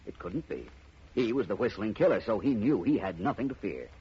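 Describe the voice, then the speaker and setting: An actor playing a suave, cool-headed amateur detective, speaking in a smooth, assured manner in 1940s radio acting. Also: male voice, fairly low pitch, sing-song, fairly quick, heavily acted. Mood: confident. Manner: smooth, assured, explaining with relish. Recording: muffled old radio broadcast, faint hiss.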